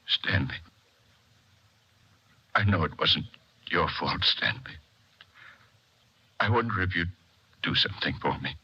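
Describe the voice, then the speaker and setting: Grieving father, raspy and piercing. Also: low-pitched, lively intonation, takes it slowly, long pauses, sharp tone, exaggerated voice. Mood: pained, gentle. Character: grieving father of a boy killed by accident. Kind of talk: 1940s radio drama acting. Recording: lo-fi vintage 1940s radio recording.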